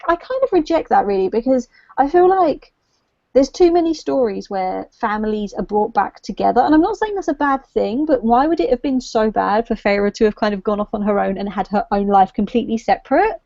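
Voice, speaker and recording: A woman's voice: a little high, expressive, fairly quick, vocal fry, a little mellow, no room sound. A woman co-hosting a casual book discussion livestream, thinking aloud and hedging as she chats. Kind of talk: casual chat. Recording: dull, lo-fi livestream audio.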